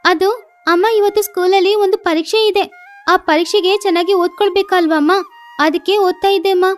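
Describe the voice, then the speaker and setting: A woman's voice: very high-pitched, steady tone, moderate pace, soft background music, voice pushed up. A woman voicing a young cartoon sparrow daughter, an earnest schoolgirl who talks in a pleading, childlike way. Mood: apologetic, explaining.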